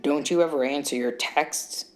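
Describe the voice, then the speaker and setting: A woman voicing a friendly, slightly gruff travelling boyfriend with an edge of gravel, in an audiobook short story. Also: low-pitched, normal speed, faint music behind, close to an everyday voice. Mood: irritated. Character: gruff but friendly Midwestern boyfriend, busy travelling man.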